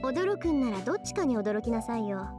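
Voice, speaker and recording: A haughty, sharp-tongued teenage schoolgirl in a visual novel. Her voice is high and very fast, scolding yet composed, with music clearly behind it. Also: very animated. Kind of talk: Japanese visual novel voice acting. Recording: background music under game dialogue.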